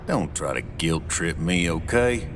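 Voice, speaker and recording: An actor playing a world-weary, wary Martian frontier bartender in a video game, plainspoken and colloquial. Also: male voice, low voice, lively intonation, a little slow, slight background noise. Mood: defensive, exasperated. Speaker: bar owner character. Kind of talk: video game cutscene acting.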